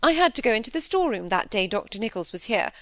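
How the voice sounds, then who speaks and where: A woman's voice: fairly high pitch, very animated, a little fast, no room sound, studio quality, voice pushed up. A woman reading an audiobook, voicing a middle-aged wife who is fretful, wheedling and full of plaintive excuses. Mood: tearful, aggrieved. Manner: plaintive, pouting, evasive.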